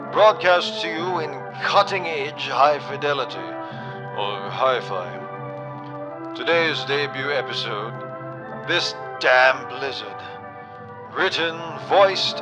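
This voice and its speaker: A man playing a pompous, cultured old-time radio theatre host, announcing in a grandiose, measured way. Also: medium pitch, close to an everyday voice, low-quality recording.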